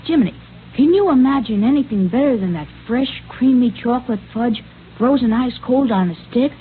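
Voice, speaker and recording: A woman's voice: on the high side, expressive, fairly quick. A woman delivering an eager, scripted 1930s radio advertisement to children. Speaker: radio ad pitch partner. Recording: scratchy, tinny old radio recording.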